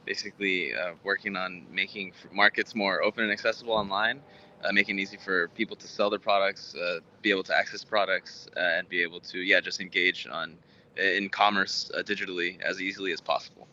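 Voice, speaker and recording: Bright-voiced American man, halting talker. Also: low-pitched, fairly even intonation, lo-fi sound, a little hiss or hum. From Houston, Texas, United States. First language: Spanish. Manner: halting, rambling, frequent stammered repeats. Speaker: guest, tech startup founder. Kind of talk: conversational interview answers.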